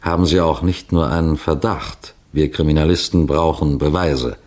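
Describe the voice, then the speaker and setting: An actor playing an experienced police detective who lectures listeners in formal, measured phrases, in the style of 1960s German radio drama. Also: male voice, rumbling low, steady tone, darkish tone. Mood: assured, lecturing.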